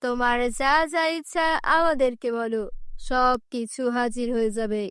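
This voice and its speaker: A woman plays a kindly magical grass spirit in a fairy-tale cartoon. The voice is high and dry, with slow, solemn explaining, as if granting a gift. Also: a bit bright, clean tone, no echo, natural voice.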